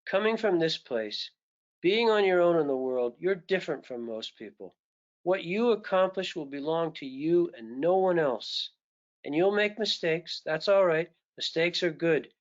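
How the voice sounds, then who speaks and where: A man voicing a gentle, patient male teacher who speaks softly, low and slowly. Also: lively intonation. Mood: earnest. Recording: dull lo-fi recording.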